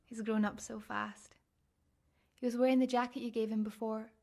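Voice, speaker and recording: A young woman with a fairly high voice, confiding and overwhelmed. a grieving daughter in a radio play. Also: flat delivery, moderate pace, creaky, darkish tone. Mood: tender, sad. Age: young adult. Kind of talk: radio drama monologue.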